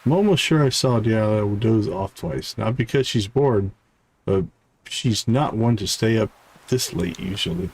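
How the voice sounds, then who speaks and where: A man's voice: on the low side, a little slow, darkish tone, husky. A man livestreaming a game, reading character dialogue aloud with stumbles and short asides. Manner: reads on-screen text aloud with stumbles and asides. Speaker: game streamer.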